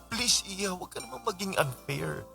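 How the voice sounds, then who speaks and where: A Filipino man played by a voice actor pleads as a lovesick suitor in a radio drama. He is intense and emotional, with loud music playing behind his voice. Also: high-pitched, lively intonation, moderate pace, vocal fry, heavily acted. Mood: hurt, tender. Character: passionate lovelorn man pleading with a married woman. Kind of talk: Tagalog radio drama acting.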